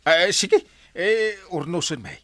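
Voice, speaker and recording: Blustering, wildly animated Ilocano village captain. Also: male voice, high-pitched, slow, no echo, exaggerated voice. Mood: flustered. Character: flustered, bribe-taking male village captain. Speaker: actor in radio comedy drama. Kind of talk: Filipino radio comedy drama acting.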